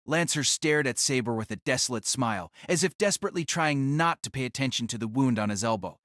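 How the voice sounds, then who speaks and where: A man narrating a fantasy audio drama in a steady, even storytelling manner. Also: medium pitch, quick pace, no echo, clean studio sound.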